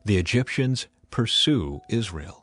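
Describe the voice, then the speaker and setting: A man's voice: on the low side, expressive, measured pace, fairly bright tone, breathy edge, no room sound. A man narrating scripture in a scripted, dramatized audiobook style. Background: music.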